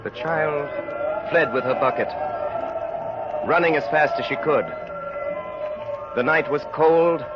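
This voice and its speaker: A man narrating a radio drama in a grave, deliberate, theatrical style. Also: fairly high pitch. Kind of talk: dramatic scripted narration, old-time radio. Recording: muffled old radio with music behind.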